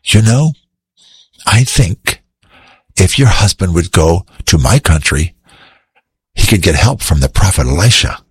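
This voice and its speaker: A man voicing a kind, gentle young slave girl in a Bible story, speaking earnestly. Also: sits low, animated, a little slow, long pauses, husky, no echo, exaggerated voice. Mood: concerned.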